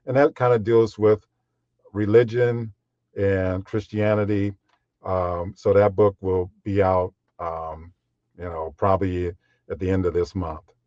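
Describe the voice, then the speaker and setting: Deep-voiced man with a low, measured delivery. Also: level tone, mellow, no room sound, dull audio. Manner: measured, unhurried, with frequent fillers. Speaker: guest voiceover artist. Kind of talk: podcast interview conversation.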